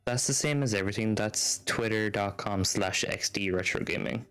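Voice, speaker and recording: A man with a low voice and a noticeable fry chats casually on a game livestream. He rambles along in an easygoing way while game music plays faintly behind him. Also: normal speed, a bit bright. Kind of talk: casual livestream chat, reading game text aloud. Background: video game music.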